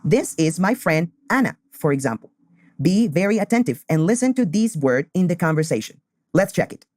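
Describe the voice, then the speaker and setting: A man, teaching beginner English and repeating key phrases slowly. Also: high-pitched, very animated, darkish tone, no echo, clean studio sound. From Colombia. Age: 20s.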